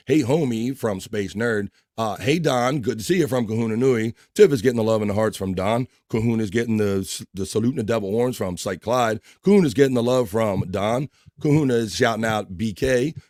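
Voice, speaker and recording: A hoarse man hosting a livestream, chatting casually and shouting out viewers' names in an upbeat way. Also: fairly low pitch, dry recording, clean studio sound. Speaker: livestream host. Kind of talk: casual chat, reading chat messages.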